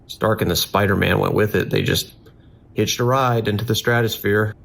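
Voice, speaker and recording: A low, gravelly man's voice, an actor playing a weary police dispatcher. He is calm, measured and instructive, in an audio drama style. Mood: weary, reflective. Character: steady, weary male police emergency dispatcher. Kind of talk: audio drama acting with monologue.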